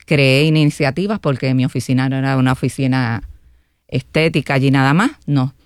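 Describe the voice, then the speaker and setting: A woman's voice: extremely low pitch, steady tone, a little fast, clear, resonant tone, dry recording, clean studio sound. Earnest Puerto Rican woman candidate. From Guaynabo, Puerto Rico. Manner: earnest, often tags phrases with a questioning filler. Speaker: political candidate and interview guest. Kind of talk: radio interview.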